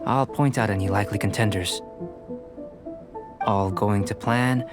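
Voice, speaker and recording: A man voicing a calm, scholarly young alchemist in a video game, speaking in a measured, formal, politely detached way. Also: fairly low pitch, natural voice. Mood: calm, matter-of-fact. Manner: measured, precise, formal diction. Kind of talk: scripted video game voice acting. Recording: music behind the voice.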